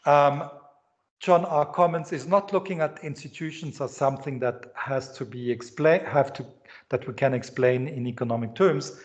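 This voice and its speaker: A man with a Swiss accent giving a university economics lecture, rambling and restarting his sentences often. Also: medium pitch. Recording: echoey lecture room, dull lo-fi sound.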